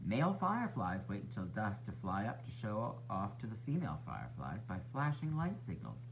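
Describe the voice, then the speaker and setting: A man narrating a children's storybook as a teacher would, expressive and instructive for young listeners. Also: lowish voice, a little fast, gravelly, highs cut off, slight background noise. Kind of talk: children's read-aloud narration.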